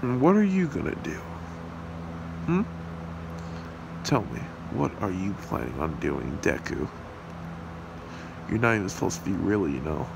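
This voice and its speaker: The obsessed demon you'd meet in a homemade ASMR horror roleplay played by a man, croaking slow, smug taunts over steady hiss. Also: on the low side, exaggerated voice. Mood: taunting. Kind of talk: amateur ASMR roleplay acting. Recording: steady hiss and hum behind voice.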